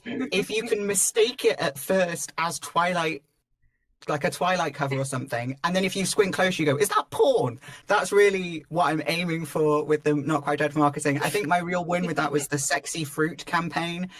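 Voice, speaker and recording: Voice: medium pitch, moderate pace, a bit bright, no echo. An audio drama creator chatting casually on a panel, explaining their shows in a rambling, discursive way.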